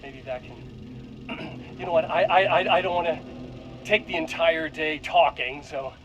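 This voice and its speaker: Actor as stammering, high-voiced eager male scientist. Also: moderate pace, lo-fi sound, close to an everyday voice. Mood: flustered enthusiasm. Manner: stammering, earnest, excitable. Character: eager, awkward, rambling male senior scientist. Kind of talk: speech to staff in a video game.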